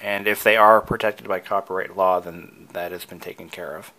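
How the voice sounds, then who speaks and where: A man's voice: low-pitched, steady tone, normal speed, bright, forceful tone, slight background noise, rough recording. A man presenting a hobby tutorial, casually talking through each step with enthusiasm.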